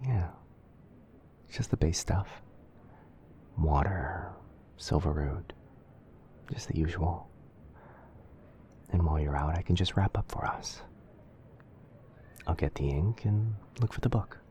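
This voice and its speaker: A man playing a gentle young wizard boyfriend in an ASMR roleplay, speaking softly and often near a whisper with a tender, hesitant manner. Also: sits low, slow pace, long pauses, slight background noise. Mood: soft, reassuring. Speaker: roleplay audio performer.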